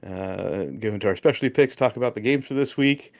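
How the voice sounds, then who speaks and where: A man co-hosting a casual sports betting podcast, joking and reading off records and picks in quick bursts. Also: fairly low pitch. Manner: jokey, recaps bets in quick bursts. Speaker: podcast co-host.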